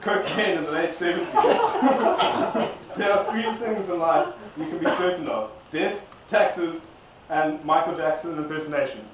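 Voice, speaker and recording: A man performing semi-improvised comic dialogue, halting and giggly as he finds his way through the script. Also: a little high, noisy background. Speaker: amateur performer reading his part in a comic skit. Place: live performance in an echoey room. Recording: very echoey, distant, muffled room recording.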